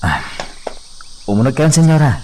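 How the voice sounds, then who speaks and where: A man's voice: on the high side, sing-song, moderate pace, mellow, a little hiss or hum. A man playing an earnest young hacienda worker in a radio drama, deferential and a little hesitant, with an Ilocano accent. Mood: obliging.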